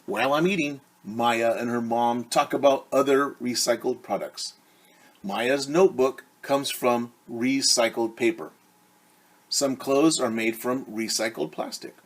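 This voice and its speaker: A man's voice: mid-range voice, a little slow. A middle-aged man reading a picture book aloud as a teacher, stopping to explain it simply and repeating words for young children. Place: small room at home, indoors.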